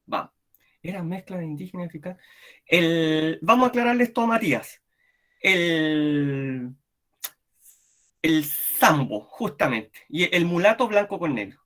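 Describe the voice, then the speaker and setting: A man's voice: fairly high pitch, unhurried, long pauses. A man with a Chilean accent lecturing a history class online, constantly checking in with his students as he talks. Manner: animated, keeps checking in with "¿cierto?" and "¿ya?". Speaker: history teacher.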